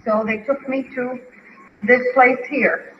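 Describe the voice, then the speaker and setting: A woman's voice: a little high, fairly even intonation, slow. A frightened, tearful woman pleading in agitated, repetitive conversation. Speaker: escaped sanitarium patient held by police. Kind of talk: distressed conversation recorded live on a police ride-along. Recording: dull, noisy vintage radio field recording.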